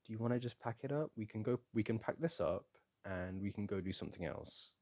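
A man playing a playful boyfriend in an intimate audio roleplay, chatting casually, teasing and coaxing the listener in a gentle, halting way. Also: on the low side. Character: playful, teasing, soothing boyfriend at a board game.

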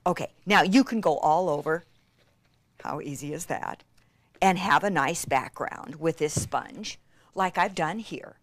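A woman giving an enthusiastic hands-on craft demonstration, gushing and excitable, with breathy gasps and drops into near-whispers. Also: low-pitched, a little slow, no echo. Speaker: craft instructor.

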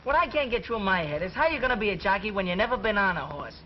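A sarcastic New street kid, ribbing his pal in rough slang with a high, youthful voice. The sound is dull and lo-fi, with loud hiss from an old film print. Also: lively intonation, fast talker. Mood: doubtful. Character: wisecracking street kid in a gang. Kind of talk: 1940s film acting.

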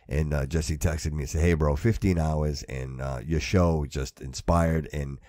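A man's voice: a bass voice, fairly even intonation, unhurried, dark tone, dry recording, studio quality. A man hosting a podcast in casual, emphatic, slangy chat, often repeating himself for stress. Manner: slangy, repetitive, addressing listener as bro and dude. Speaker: podcast host.

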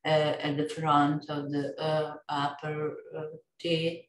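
Very slow Bosnian-speaking woman learner, echoey room. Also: deep voice, completely flat delivery. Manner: careful, hesitant repetition. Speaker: learner repeating drills. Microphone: webcam on a video call. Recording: echoey room on a video call.

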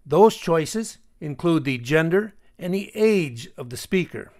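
A man narrating a screencast tutorial as the host, explaining deliberately and with pointed emphasis. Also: on the high side, animated, a little mellow. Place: voice-over for a screen recording.

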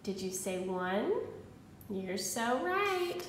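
A woman in her thirties, a kindergarten teacher giving an encouraging read-aloud lesson to young children, pausing after questions. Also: very animated. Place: indoors at home, small room. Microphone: phone at arm's length. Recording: roomy echo, faint noise and rumble.

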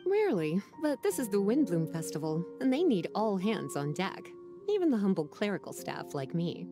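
A woman voicing a languid, sultry witch-librarian in a game, teasing and unhurried. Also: mid-range voice, sing-song, music in the background. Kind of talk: game voice acting.

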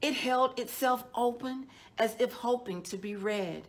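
A middle-aged woman, a children's storytime host, reading a picture book aloud in a measured, deliberate way with drawn-out words. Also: a little high. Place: indoors, small decorated room.

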